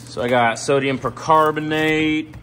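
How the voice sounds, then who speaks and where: A young man casually walking through his work trailer, talking in a relaxed, rambling way with lots of ums. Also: on the high side, a little slow, background noise. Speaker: pressure washing business owner. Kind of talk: casual walkthrough tour. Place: indoor metal trailer, shop garage. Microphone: handheld phone camera.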